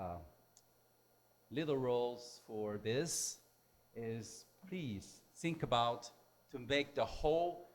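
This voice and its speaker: A man who is a non-native English speaker giving a technical lecture in halting, simple phrases. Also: on the low side, darkish tone. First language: not English. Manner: halting, hesitant delivery with simple broken phrasing. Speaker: technical presenter at a symposium.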